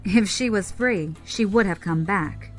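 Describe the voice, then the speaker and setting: An actress plays a guarded female pirate captain in a sci-fi audio drama. Her voice is medium in pitch, and she gives short, commanding lines over faint music. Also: a little slow, natural voice. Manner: terse, commanding. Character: tough, guarded female space pirate leader.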